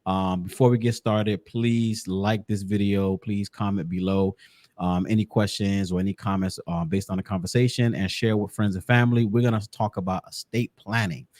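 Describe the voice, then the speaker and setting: A man's voice: lowish voice, steady tone, moderate pace, darkish tone, no room sound, clean studio sound. A man hosting a podcast, interviewing casually in an informal, encouraging way, often repeating short phrases. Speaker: podcast host.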